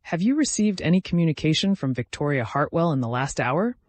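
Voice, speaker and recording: A man's voice: fairly high pitch, big pitch swings, brisk, no room sound. A man voicing a grim, businesslike female police detective in an audiobook, terse and official. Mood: grim urgent.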